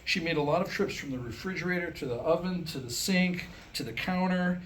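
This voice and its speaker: A man's voice: fairly high pitch, a little fast, a bit bright. A man telling jokes to live listeners in a conversational, wry stand-up style. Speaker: presenter telling jokes to a small group. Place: live staged reading before listeners. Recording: faint background noise.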